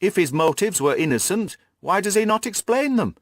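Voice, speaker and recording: An anguished older gentleman banker from a storybook , acted out by a male reader. He speaks as a despairing father, emotional and lamenting, his pitch moving widely. The sound is dry. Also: sing-song, normal speed, no echo. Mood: agitated. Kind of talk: audiobook character acting.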